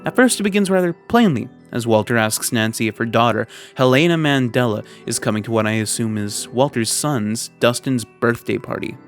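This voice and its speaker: A man hosting a video essay, reading scripted commentary in a measured, wry way and stretching words for emphasis. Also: mid-range voice, lively intonation. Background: background music.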